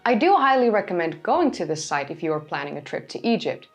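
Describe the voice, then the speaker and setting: A woman hosting a history channel, giving scripted educational narration in a raspy, hoarse voice after being ill. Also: on the low side, very animated, fairly quick, soft background music. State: hoarse from recent illness.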